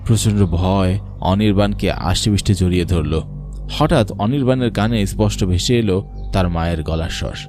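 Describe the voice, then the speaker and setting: A man's voice: sits low, moderate pace, music in the background. A man narrating a scripted horror story in a dramatic storytelling style.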